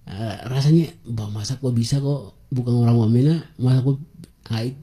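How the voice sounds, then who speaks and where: A man's voice: mid-range voice, steady tone, normal speed, dark tone. A young man, a guest talking in an interview with many hesitations and filler sounds. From Papua highlands, Indonesia. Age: 20s. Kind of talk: conversational interview.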